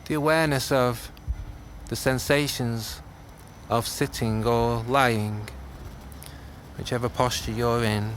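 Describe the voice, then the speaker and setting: A man teaching a guided meditation, speaking gently in short phrases with long pauses. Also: medium pitch, fairly even intonation. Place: outdoors. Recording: outdoor class with clear background noise.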